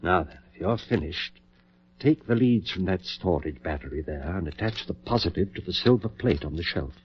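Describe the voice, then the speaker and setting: Deep, obsessed scientist-surgeon, old radio. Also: male voice, animated, normal speed. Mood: commanding, clinical. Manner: clipped orders, intense, condescending. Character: obsessed, commanding male scientist-surgeon reviving the dead. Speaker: actor in a horror radio play. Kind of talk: old-time radio drama acting. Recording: muffled vintage radio recording.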